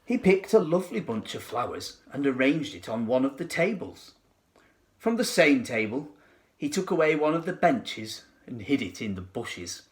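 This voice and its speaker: A man narrating a children's storybook expressively, with dramatic, deliberate phrasing. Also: medium pitch, sing-song. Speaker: teacher reading to pupils.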